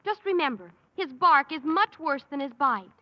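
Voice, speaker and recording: An actress playing a sweet, earnest teenage daughter in a 1950s radio sitcom. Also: female voice, high voice, expressive, moderate pace. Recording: dull old radio broadcast.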